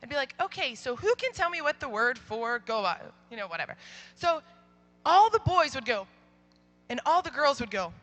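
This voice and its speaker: Loud, bright young woman speaker. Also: high voice, normal speed. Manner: animated, acts out quoted voices. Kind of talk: storytelling youth talk.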